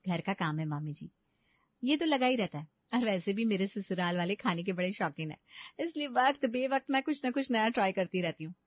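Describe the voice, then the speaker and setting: A woman's voice: mid-range voice, expressive, fairly quick, no echo, lo-fi sound, close to an everyday voice. A woman voicing a devoted, cheerful young daughter-in-law in a story, polite, reassuring and eager to please.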